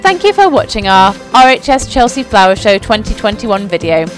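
A woman's voice: mid-range voice, sing-song, moderate pace, faint music behind. A young woman giving an upbeat, scripted voice-over narration for a company video. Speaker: company presenter. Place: outdoors at a flower show.

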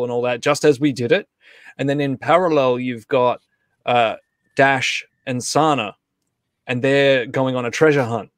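A man's voice: mid-range voice, no room sound. Man rambling casually on a livestream, dry sound. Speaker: host and co-creator. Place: indoor home setup on a group video call.

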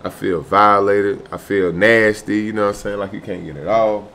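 Low-voiced American man, slangy casual vlogger. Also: steady tone, moderate pace, a bit bright. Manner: slangy, repeats "man", "bro" and "you know what I'm saying". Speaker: truck driver vlogger. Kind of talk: casual vlog talk to camera. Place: small furnished hotel room, indoors.

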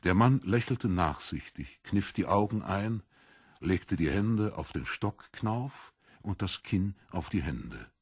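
Low, husky male audiobook narrator. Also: animated, takes it slowly, dry recording, studio quality. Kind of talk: scripted literary narration.

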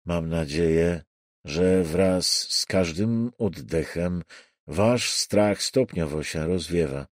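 A man voicing a gentle, quiet, solemn self-proclaimed prophet in his fifties, preaching in a measured way in an audiobook. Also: low-pitched, dry recording, clean studio sound, voice pushed down. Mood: solemn, soothing.

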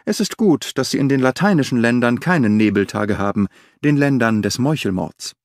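A man's voice: mid-range voice, moderate pace, dry recording, studio quality, close to an everyday voice. Man doing a restless, sardonic detective. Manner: animated, sardonic, declaiming. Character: restless, impatient middle-aged male detective. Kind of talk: audiobook character voice.

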